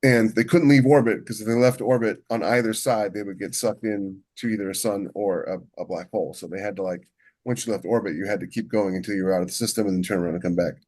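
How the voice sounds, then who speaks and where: A man chatting casually as a podcast co-host and writer, halting and restarting as he thinks aloud. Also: lowish voice, fairly even intonation, a little mellow. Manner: halting, thinks aloud with many repeated false starts. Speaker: podcast co-host and science fiction author. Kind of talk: casual chat about book writing.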